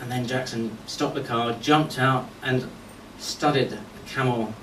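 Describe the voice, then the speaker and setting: A man delivering a eulogy full of anecdotes, telling stories in short phrases with deliberate pauses. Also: mid-range voice, fairly even intonation, fairly bright tone. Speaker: friend giving a memorial tribute. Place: golf club function venue at a memorial service. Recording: echoey distant mic with background noise.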